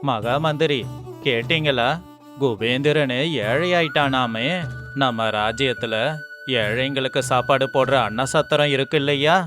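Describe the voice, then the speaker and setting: A man playing a shrewd scholar on the throne in an animated moral story, commanding and measured, with mock sympathy. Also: mid-range voice, faint music behind, natural voice. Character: shrewd, sarcastic scholar sitting as king, commanding.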